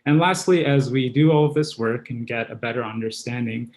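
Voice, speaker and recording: A man's voice: mid-range voice, fairly quick, a little mellow. A man from Guyana and Trinidad, in his thirties, giving an academic seminar presentation as a researcher.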